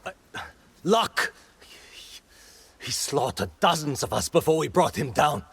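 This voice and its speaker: An actor playing a guilt-laden but defiant, battle-worn rebel fighter in a video game, with a husky, gravelly voice. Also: male voice, on the high side, big pitch swings, measured pace, a bit bright, no room sound, exaggerated voice. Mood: bitter defiance. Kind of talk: dramatic video game cutscene acting.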